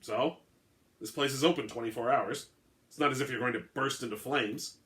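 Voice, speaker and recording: A man's voice: mid-range voice, moderate pace, fairly bright tone, close to an everyday voice. A man playing a scruffy, weary, sardonic vampire hunter who is dry, needling and opinionated, in a story reading. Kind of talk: dramatic reading of an all-dialogue short story.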